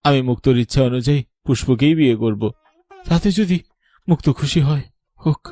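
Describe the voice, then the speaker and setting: A man's voice: mid-range voice, a little fast, mellow, no room sound, lightly acted. A man playing an earnest, solemn villager in a cartoon drama. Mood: heartbroken, resolute. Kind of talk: Bengali cartoon story voice acting.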